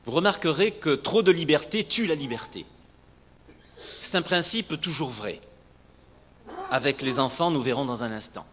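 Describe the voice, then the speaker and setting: A man's voice: a little high, expressive, fairly bright tone. Echoey male preacher. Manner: rhetorical, emphatic, with deliberate repetition and pauses. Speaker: pastor. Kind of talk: sermon. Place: large reverberant hall, speaking to a congregation. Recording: echoey hall, long reverb, some background noise.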